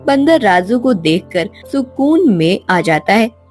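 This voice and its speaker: A woman reads a scripted children's story. Her pitch is mid-range and her pace unhurried, with background music running beneath the narration. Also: very animated, a little mellow.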